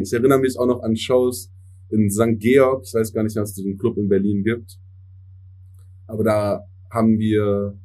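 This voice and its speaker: A young man, a native English speaker, giving relaxed interview answers as a musician and mixing English words into his speech. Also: lowish voice. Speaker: musician guest.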